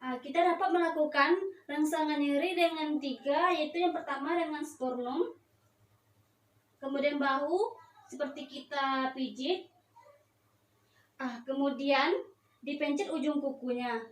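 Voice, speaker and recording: Young woman, high voice, hesitant student demonstrator, step-by-step instruction, roomy distant sound. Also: fairly even intonation, measured pace, pauses often. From Indonesia. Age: young adult. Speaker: nursing student giving a practical demonstration. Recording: echoey room, distant mic, faint noise.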